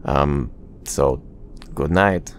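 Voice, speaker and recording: A man playing a reserved warrior at bedtime in an ASMR roleplay, speaking in a hesitant, halting, awkward way. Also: a bass voice, slight background noise, full character voice. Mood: drowsy, casual.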